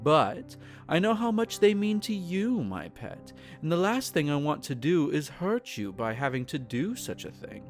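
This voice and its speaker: A man's voice: fairly high pitch, big pitch swings, a little slow, hardly any pauses, faint music behind. A man playing a possessive, aristocratic male vampire in an intimate roleplay audio drama, speaking formally, soothing yet condescending and menacing. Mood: coolly pleased.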